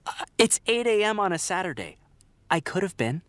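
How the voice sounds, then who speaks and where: A man's voice: high-pitched, very animated, a little fast, fairly bright tone, no room sound. An actor playing a prim, health-conscious man, exasperated with his friend, in naturalistic audio drama dialogue. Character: fussy, health-conscious morning person, exasperated male friend.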